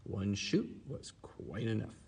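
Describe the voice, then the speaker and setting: A man reading aloud to a child in an expressive, animated storytelling manner. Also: fairly low pitch, slow pace, vocal fry, husky. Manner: animated, acts out character lines. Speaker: storybook reader. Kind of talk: expressive read-aloud of a children's story, then casual chat.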